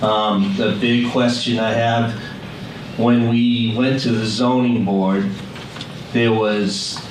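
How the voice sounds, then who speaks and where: A man's voice: on the low side, level tone, slow pace. A man giving a friendly, plain-spoken public comment at a town meeting. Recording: echoey hall with noise, distant mic.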